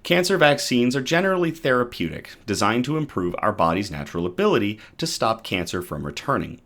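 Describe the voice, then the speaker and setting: A man hosting a news show reads articles aloud, his pitch rising and falling a great deal. He stumbles and restarts over hard technical terms, then chats loosely with viewers between reports. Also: big pitch swings, brisk, a bit bright. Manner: reacts to live chat between readings. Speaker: host of a good-news show. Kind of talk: reading news articles aloud with casual asides.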